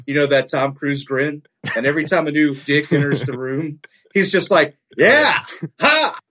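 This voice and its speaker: A man's voice: fairly high pitch, fairly even intonation, normal speed, a bit bright, a little hiss or hum. A man riffing casually on a comedy podcast, joking and swearing as he builds absurd scenarios.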